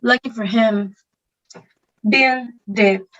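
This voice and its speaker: A woman's voice: fairly high pitch, drawn-out words, a bit bright, dull audio. A woman playing a selfish, bullying stepfather in a theatre table read, grumbling and demanding with open contempt. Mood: contemptuous. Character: selfish, boorish, bullying stepfather, grown man.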